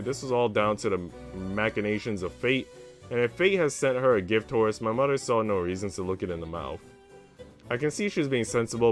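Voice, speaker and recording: A man's voice: lowish voice, close to an everyday voice. A man voicing an earnest, well-mannered young woman who narrates a visual novel in a formal, reflective way. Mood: indignant, reflective.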